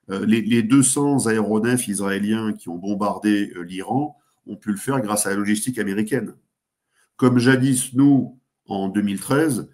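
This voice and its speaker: A man speaking as a guest commentator, giving geopolitical analysis in a talk show, pausing often with fillers and restarts. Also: lowish voice, darkish tone. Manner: hesitant, many fillers and restarts.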